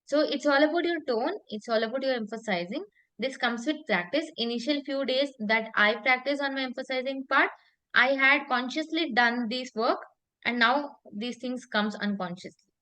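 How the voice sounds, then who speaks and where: A woman's voice: high-pitched, normal speed, bright, forceful tone, low-quality recording. A woman coaching public speaking in an explanatory way, emphatically repeating and stressing key phrases with spoken examples.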